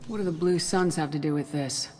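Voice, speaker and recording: An actress playing a steady, compassionate military commander in a video game, direct and composed. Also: female voice, low voice, a little fast, music in the background, natural voice. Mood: firm.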